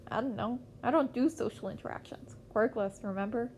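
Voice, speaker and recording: A woman's voice: a little high, fairly quick, a little mellow, a little hiss or hum, close to an everyday voice. A woman voicing a fifteen-year-old boy vigilante in an amateur audio story, blunt and offhand, with music underneath. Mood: nonchalant.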